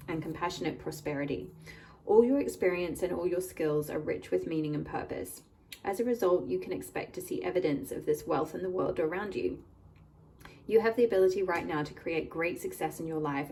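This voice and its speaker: Lady doing a tarot reading, bit croaky, soft mellow voice, slightly far from the mic. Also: deep voice, a little hiss or hum. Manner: gentle, warm address to viewers. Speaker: tarot reader and channel host. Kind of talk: pick-a-card tarot reading. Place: indoors at a table, hands-only camera.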